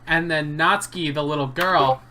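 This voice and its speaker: Joking young man with a bright voice. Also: on the high side, moderate pace. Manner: joking, rambling with restarts. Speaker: channel host. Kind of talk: casual anime debate chat and voice-over.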